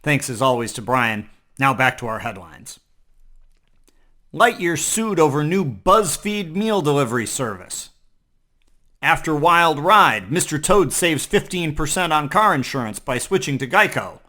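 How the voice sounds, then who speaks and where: Bright, forceful man reading spoof news. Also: a little high, sing-song, measured pace. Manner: mock-serious newscaster delivery. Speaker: comedy news host. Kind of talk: scripted parody news narration.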